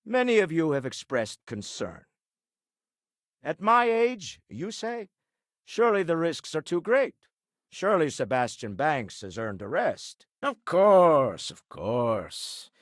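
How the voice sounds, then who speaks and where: Dry, wealthy older gentleman, expressive. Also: a little high, no room sound. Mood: wry, indignant. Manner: dry, cultured, theatrical, measured phrasing. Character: wealthy older male space-exploration financier, dry, shrewd, proud. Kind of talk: video game character dialogue.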